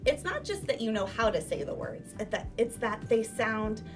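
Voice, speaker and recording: Female primary teacher, high voice, emphatic instructional delivery for children, music clearly behind her. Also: moderate pace. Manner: emphatic, animated teacher delivery with rhetorical questions. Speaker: elementary school teacher. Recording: distant microphone, music behind.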